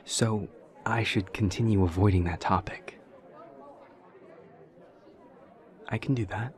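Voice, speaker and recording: Man acting as demon classmate, low-pitched, socially awkward, literal-minded, earnest, halting formal delivery, audio roleplay, faint crowd chatter behind. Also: natural voice. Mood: careful, earnest.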